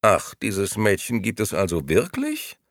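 A man's voice: mid-range voice, sing-song, fast, creaky, dry recording, studio quality, pitched above the performer's own voice. A man voicing a stern, haughty lady who speaks with disdain. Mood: haughty surprise. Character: stern, astonished grown lady of high society.

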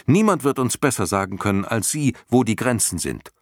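A man reading an audiobook, voicing a fearless, earnest young boy hero who asks questions calmly. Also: mid-range voice, a little fast, no echo, studio quality, pitched above the performer's own voice. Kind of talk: audiobook character voice.

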